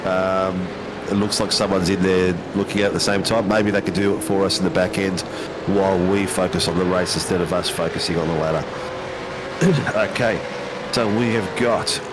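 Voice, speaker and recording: A man's voice: deep voice, steady tone, moderate pace, heavy background noise. An Australian man commentating a sim race in a casual, matey way, with plenty of ums and uhs.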